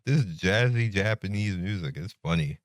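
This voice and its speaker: A man's voice: deep voice, lively intonation, moderate pace. A man giving casual, laid-back, slangy gameplay commentary. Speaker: gaming content creator. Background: faint game music.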